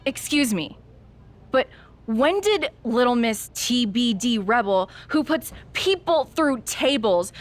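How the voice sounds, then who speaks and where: An actress plays an ambitious young female wrestler in a video game, needling and earnest. Her voice is on the high side and she takes her time over the words. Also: lightly acted. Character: scrappy, persistent young woman wrestler with big ambitions. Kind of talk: scripted video game cutscene acting.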